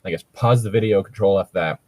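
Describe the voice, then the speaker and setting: A man's voice: lowish voice, fast talker, no echo. A man co-hosting a podcast in casual chat, swearing freely and complaining dryly as he reads and picks things apart. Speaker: podcast co-host. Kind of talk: casual chat, reading and mocking fan fiction.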